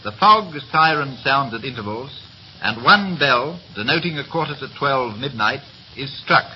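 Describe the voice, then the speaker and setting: A man narrating as a 1930s radio announcer, with formal, deliberate diction. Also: medium pitch, animated. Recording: muffled, hissy 1930s radio recording.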